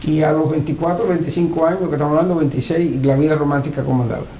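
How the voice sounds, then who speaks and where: A man's voice: fairly high pitch, steady tone, very fast, dark tone. A man interviewing respectfully, using formal address and small affirming murmurs. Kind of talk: oral history interview, respectful questioning. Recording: roomy echo, faint background noise.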